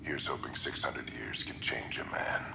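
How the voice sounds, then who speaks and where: Weary gruff game dad, super deep crackly voice, grave log entries, noisy ambience behind. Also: male voice, fairly even intonation. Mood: regretful. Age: middle-aged. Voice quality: gravelly, low. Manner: grave, reflective, measured. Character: weary, gruff explorer father recording personal logs. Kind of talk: video game voice acting.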